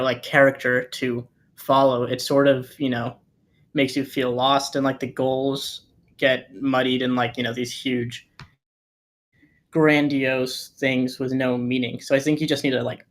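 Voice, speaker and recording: A man giving casual feedback on a script, peppering his talk with colloquial filler phrases. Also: mid-range voice. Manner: casual, peppered with "like" and "you know". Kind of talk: casual critique.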